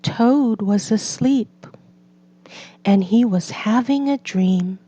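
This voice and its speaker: Very slow, mellow woman reading a storybook. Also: a little high. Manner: measured, deliberate delivery for learners. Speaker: storybook reader. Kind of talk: slow scripted children's read-aloud narration.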